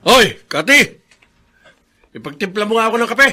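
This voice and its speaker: Bright, high-pitched Filipino radio father. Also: sing-song, normal speed, soft background music, heavily acted. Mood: furious, bullying. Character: harsh abusive father in flashback, frail repentant old man later. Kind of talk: Filipino radio drama acting.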